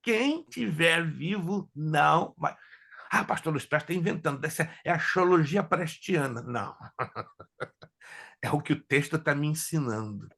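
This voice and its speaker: A man teaching a theology class as a pastor, whose first languages are Hebrew and Spanish, lecturing in an animated way and joking with his students. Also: on the high side, a little slow, gravelly. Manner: animated, jokes with students, asks if they follow.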